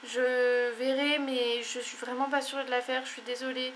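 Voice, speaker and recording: Stuffy-nosed young woman chatting to camera, kinda high, monotone, faint hum behind. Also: normal speed. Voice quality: tired. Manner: rambling, many fillers and apologies. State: stuffy, congested. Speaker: vlogger. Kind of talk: casual chat to camera.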